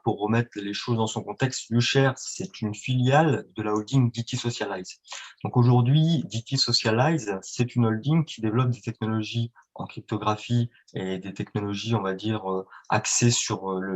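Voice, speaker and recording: A man answering interview questions as a guest, speaking with many fillers and restarts. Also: lowish voice. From France and Italy. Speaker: guest, independent trader. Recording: dull lo-fi sound.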